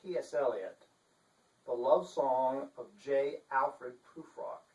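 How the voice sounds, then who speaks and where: A man with a pompous, theatrical manner giving a deliberate dramatic reading as an author. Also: medium pitch, a little mellow. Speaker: author reading his novel. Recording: echoey room, distant microphone.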